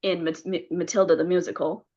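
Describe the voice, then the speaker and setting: A woman's voice: fairly low pitch, little pitch movement, a little fast, dark tone. A woman in her twenties, an actor, chatting casually about personal experience. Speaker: actor taking part in a table read. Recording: dull, lo-fi call audio.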